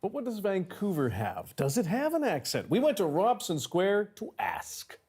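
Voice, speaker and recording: A woman co-anchoring an evening news broadcast in a studio, lively and bantering. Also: mid-range voice, normal speed, a little hiss or hum.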